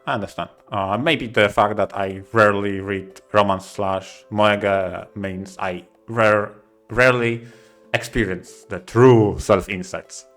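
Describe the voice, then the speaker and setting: A man in casual podcast chat as a co-host, speaking haltingly and often repeating words as he forms his thoughts. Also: lowish voice, fairly even intonation, fairly bright tone, faint music behind.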